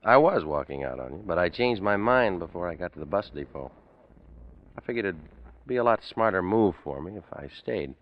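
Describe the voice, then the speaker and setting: A man's voice: low voice, lively intonation, a little fast. An actor playing a cool, cagey male drifter with a casual, laconic way of talking, in 1940s radio style. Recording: dull vintage radio recording.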